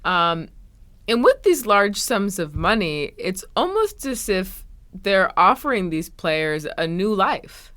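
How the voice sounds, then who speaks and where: A woman's voice: medium pitch, big pitch swings, takes it slowly, a bit bright. A woman hosting a podcast, speaking in both scripted narration and conversational interview.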